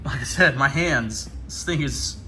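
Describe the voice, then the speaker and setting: American male vlogger, forceful bright tone, informal and enthusiastic, casual unboxing narration, noticeable background noise. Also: mid-range voice, measured pace, rough recording. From Ohio, United States. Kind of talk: casual hands-on product unboxing commentary. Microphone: handheld camera.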